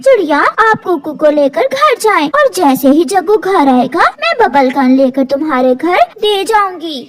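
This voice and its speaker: A woman voicing a firm, caring mother sparrow in a cartoon, brisk and scolding. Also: extremely high pitch, animated, crowd noise.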